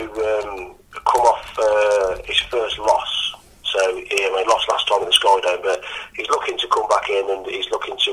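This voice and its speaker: A husky, croaky man speaks as a fight coach in a casual interview. His low voice sounds bright and edgy, and he stops and restarts a lot. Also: low-quality recording. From England. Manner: hesitant, full of ums and restarts. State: croaky after training. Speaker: MMA coach.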